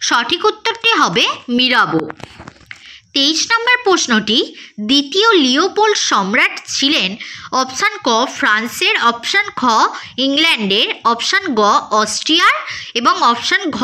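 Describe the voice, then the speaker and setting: A woman with a very high voice that rises and falls in lively steps. She reads like a teacher going through a quiz, methodically listing each option in a sing-song pattern. Her tone is bright and forward. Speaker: teacher presenting exam questions. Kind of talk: scripted quiz read-out lesson.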